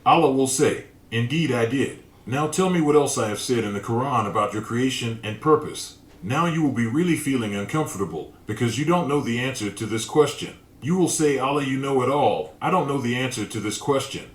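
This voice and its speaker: A man giving a calm, measured scripted religious narration, reading out an imagined dialogue. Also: mid-range voice, roomy sound.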